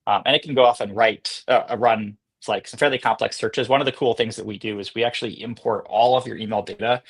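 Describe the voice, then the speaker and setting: A man speaking as an interview guest, explaining and demonstrating software in a casual, conversational style. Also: on the low side, steady tone, quick pace, husky. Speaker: podcast guest, company co-founder and CEO.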